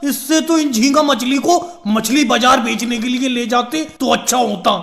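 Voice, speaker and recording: Man acting squeaky male cartoon politician, very high pitch, gravelly creak, chatty, bickering, comedy skit. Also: fairly even intonation, normal speed, a bit bright, heavily acted. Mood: haggling, exasperated. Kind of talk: animated comedy skit dialogue.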